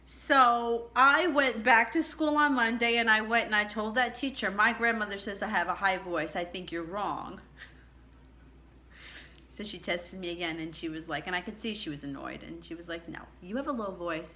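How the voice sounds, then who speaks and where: A middle-aged woman with a low contralto voice recounts her own story in a chatty, candid way, laughing often. Her tone is bright and forceful. A faint background ambience sits under her voice. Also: moderate pace, a little hiss or hum. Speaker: vlogger. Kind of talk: personal storytelling to camera.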